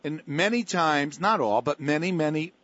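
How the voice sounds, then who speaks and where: Brief: male talk radio host, emphatic and repetitive, fairly high voice, dry and dull lo-fi sound. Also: expressive, normal speed, fairly bright tone, dry recording.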